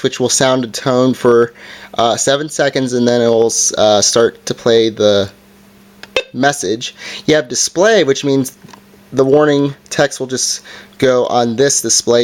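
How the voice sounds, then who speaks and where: A man giving an informal, hands-on product review in a casual explaining style, recorded indoors. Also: medium pitch. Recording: background noise with handling clicks.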